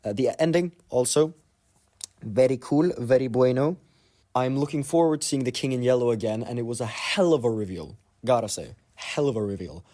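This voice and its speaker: A man's voice: mid-range voice, brisk, dark tone. A man giving casual, rambling commentary in a listener voice message.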